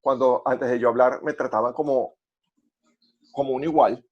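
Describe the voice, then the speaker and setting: A man with a Venezuelan accent telling personal stories conversationally, haltingly repeating words as he searches for phrasing. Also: medium pitch, fairly even intonation, lo-fi sound. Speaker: podcast co-host.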